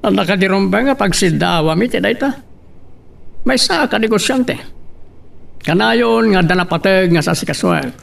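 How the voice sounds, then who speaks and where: Impatient Filipino businessman dad, commanding, very gravelly, slightly off-mic, radio serial. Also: high voice, animated, normal speed, pauses often, fairly bright tone, distant mic, heavily acted. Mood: curt, irritated. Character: curt, impatient wealthy businessman and father. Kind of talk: Filipino radio drama acting.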